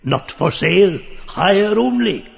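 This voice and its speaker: A man playing a grotesque, parrot-like old pedant, leering and excitable, with a nasal croak and a pompous lecturing manner in a theatrical audiobook play. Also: sits high, slow, big-room sound, lo-fi sound, full character voice. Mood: sly, mocking. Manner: pompous learned lecturing, full of crowing outbursts. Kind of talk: theatrical audiobook acting of a surreal stage play.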